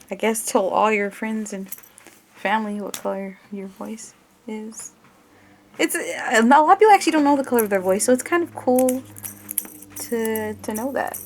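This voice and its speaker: A woman with a creaky voice doing an ASMR roleplay in her normal speaking voice, casual and hesitant as she talks through each step. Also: fairly high pitch, a little hiss or hum. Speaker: ASMR creator playing an examiner.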